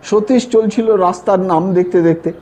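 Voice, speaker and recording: A man narrating a classic story in an expressive, theatrical way. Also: high voice, moderate pace. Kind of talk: dramatic audio-story narration of classic fiction.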